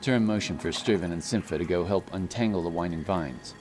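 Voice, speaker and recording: A man's voice: low-pitched, brisk, a little mellow, a little hiss or hum. A man reading scripted, dramatic narration for a fantasy story.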